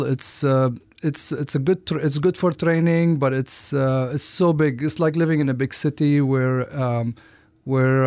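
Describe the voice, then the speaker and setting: Halting male interview guest from Lebanon, dry sound. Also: a little high, darkish tone, dry recording. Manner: hesitant, halting phrasing with repeated false starts. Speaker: guest, doctor. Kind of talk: interview answers. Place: radio studio.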